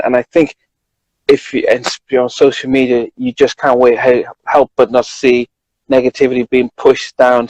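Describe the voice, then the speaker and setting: A man's voice: medium pitch, darkish tone, no room sound, dull audio. British male co-host, mid-range voice, dry sound, informal discursive chat. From Britain. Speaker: co-host, journalist. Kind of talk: casual chat.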